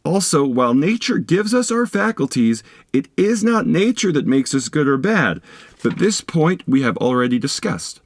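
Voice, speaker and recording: A man reading a philosophy text aloud as an audiobook narrator, in a measured, didactic manner with deliberate phrasing. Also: fairly high pitch, sing-song. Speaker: audiobook reader.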